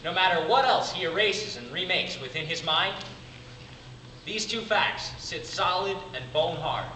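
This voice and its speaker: Man acting a slow, dramatic, weary big brother. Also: sits high, lively intonation, bright, forceful tone, exaggerated voice. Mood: earnest, emphatic. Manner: deliberate, dramatic pauses between short phrases. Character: weary, devoted big brother caring for disturbed sibling. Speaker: amateur drama club actor. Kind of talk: theatrical stage monologue. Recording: distant mic, echoey room, clearly noisy.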